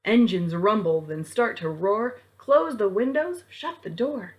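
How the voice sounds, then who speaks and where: Female children's librarian, mid-pitched, storytime read-aloud addressed straight to little kids. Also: expressive, unhurried. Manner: addresses young children directly, with prompts and questions.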